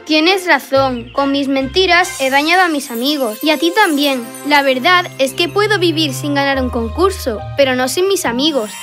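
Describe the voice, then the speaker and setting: A woman's voice: sits high, expressive, normal speed, hardly any pauses. A woman voicing a fibbing, eager, sweet little wooden puppet boy in a children's cartoon, with a Spain Spanish accent and wide-eyed exclamatory delivery. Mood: remorseful. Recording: children's music behind the voice.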